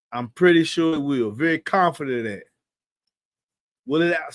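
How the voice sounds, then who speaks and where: A man's voice: fairly high pitch, no room sound. Brief: man, fifties, live-stream host, casual and confident, dry close sound. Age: 50s. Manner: loose, slangy, confident and talkative.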